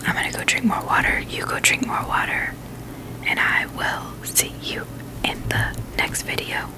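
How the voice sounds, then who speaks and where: A woman whispering in a breathy, unvoiced voice, chatting casually and haltingly in a gentle ASMR style. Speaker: ASMR video host.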